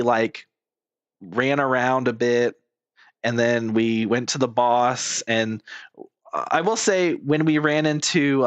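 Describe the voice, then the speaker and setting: A man's voice: mid-range voice, no echo. A male game streamer with a mid-range voice, talking casually and at length in a blunt, informal way. He swears freely and is recorded dry and close to the mic. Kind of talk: casual rambling game commentary.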